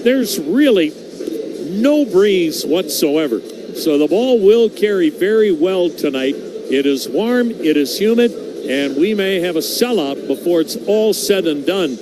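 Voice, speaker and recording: A man with an American accent calling baseball play-by-play on radio, in folksy, measured phrases. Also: high-pitched, lively intonation. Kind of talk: live radio sports commentary. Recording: noisy ballpark ambience behind.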